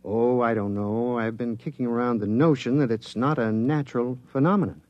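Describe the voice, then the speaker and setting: A man's voice: mid-range voice, fairly quick, dry recording, dull audio, lightly acted. An actor playing an earnest, modest male government scientist who explains technical matters hesitantly, in 1950s radio drama style. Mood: musing.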